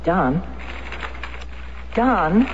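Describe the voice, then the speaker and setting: A grieving wife demanding answers. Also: female voice, on the low side, animated, very slow, slight background noise. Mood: intent. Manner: pressing, intense questioning. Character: grieving, persistent wife of a dead paratrooper. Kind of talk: 1970s radio drama acting. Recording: lo-fi old radio broadcast.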